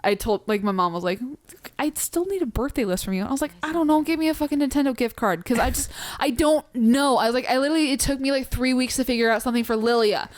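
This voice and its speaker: A chatty young woman with a Pacific Northwest American accent tells long stories over a game, acting out each quote. Her voice sits a little high. The sound is dry and close. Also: fast talker, no room sound. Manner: animated storyteller, acts out quotes, swears casually. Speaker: co-host of a gameplay let's play. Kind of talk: casual chat over gameplay.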